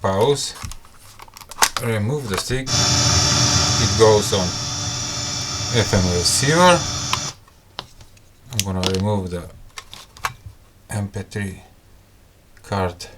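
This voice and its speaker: A man's voice: fairly low pitch, animated, slow pace, pauses often, very noisy. A man hosting a casual hands-on product review, speaking English with non-native phrasing. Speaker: host of a gadget review channel. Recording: loud music behind the voice.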